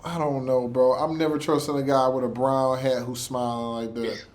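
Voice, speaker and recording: A man's voice: mid-range voice, little pitch movement, normal speed. A man chatting casually as a reaction host, using slang and repeating himself as he works out his point.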